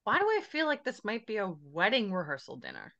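A woman's voice: medium pitch, huge pitch swings, fairly quick, pushed and bright, no room sound, lo-fi sound, heavily acted. A woman playing a blunt, wry character who hands out tough advice in a screenplay table read.

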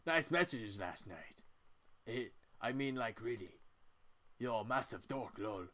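A man reading video game dialogue aloud in a casual, expressive way. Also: medium pitch, slow. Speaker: game player voicing characters.

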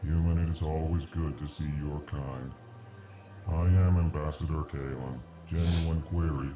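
An actor playing a huge, courteous alien ambassador in a video game, speaking in a formal deadpan monotone. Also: male voice, a bass voice, unhurried, roomy sound, music in the background. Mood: formal, placid. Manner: deadpan monotone, formal, announces each emotion before speaking. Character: slow, gentle, courteous male alien ambassador, huge and ponderous. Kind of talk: video game dialogue acting.

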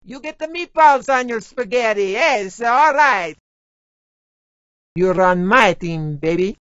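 A woman's voice: fairly high pitch, lively intonation, takes it slowly, fairly bright tone, no echo. A woman playing a doting, teasing Italian-American mama, a theatrical and motherly video game character. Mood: proud, encouraging. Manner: theatrical, motherly, uses endearments. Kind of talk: video game character barks, exaggerated and exclamatory. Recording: slightly dull game audio clips.

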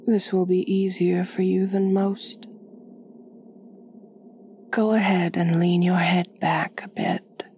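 A doting, genteel vampire lady acted by a woman speaks in an intimate ASMR roleplay. Her soft, breathy voice is very unhurried and gravelled with vocal fry, soothing yet quietly commanding. Clear ambient noise sits behind her. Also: fairly low pitch. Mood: soothing, reassuring. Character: gentle, doting, aristocratic female vampire mistress.

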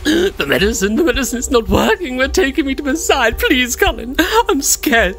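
A man playing a sickly, desperate invisible hunter losing his mind in an audio drama, halting and fraught. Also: very high voice, very animated, a bit bright, faint music behind, heavily acted. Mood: terrified, failing. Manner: halting, fraught, fragmented delivery.

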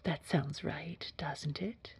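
A woman playing a poised, sinister boss in an audio roleplay, speaking formally in a hushed, husky, measured and quietly controlling way. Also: low voice, no echo, exaggerated voice. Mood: confiding. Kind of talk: scripted audio roleplay acting.

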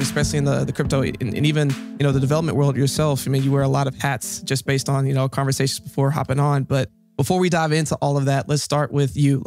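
A young man hosting a casual interview podcast in an upbeat way, with plenty of fillers. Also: mid-range voice. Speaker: podcast host. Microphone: studio mic on a boom arm.

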